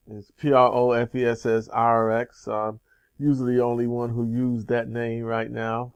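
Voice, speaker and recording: A man's voice: medium pitch, muffled recording. A man hosting a casual interview show, talking in an upbeat, loose, promotional way. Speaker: show host.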